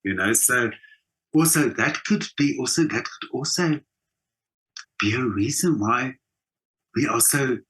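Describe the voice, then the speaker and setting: A man's voice: medium pitch, a little slow, long pauses, a bit bright. A middle-aged South African man talking conversationally as an interview guest, emphatic and full of anecdote. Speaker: guest, theatre performer.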